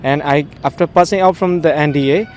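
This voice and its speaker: A man's voice: a little high, brisk, a little mellow, a little hiss or hum. A man in his twenties with a Burmese accent, a military cadet being interviewed. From Myanmar. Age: 20s.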